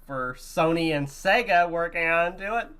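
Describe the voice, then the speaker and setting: A man's voice: a little high, moderate pace, a bit bright, smooth. A man co-hosting a podcast, talking casually as gamers do. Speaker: podcast co-host. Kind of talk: casual gaming podcast chat.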